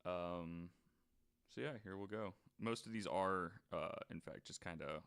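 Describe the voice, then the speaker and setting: Low-voiced man, casual podcast storyteller. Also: a little slow, fairly bright tone, clear, resonant tone. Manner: casual, profane, wry. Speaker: podcast co-host. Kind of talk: casual chat, reading out short funny news items.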